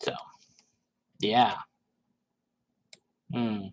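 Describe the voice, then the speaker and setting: A man reading social media posts aloud in a dramatic, sarcastic way, with mocking commentary and hesitant pauses. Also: on the low side, flat delivery.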